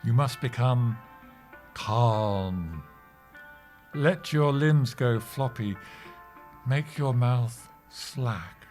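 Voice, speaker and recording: A man's voice: mid-range voice, lively intonation, very slow, long pauses, darkish tone, soft background music. An actor playing a pompous, earnest older male office worker who dabbles in martial arts and mindfulness, in a theatrical comedy audio drama style. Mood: hypnotic, soothing.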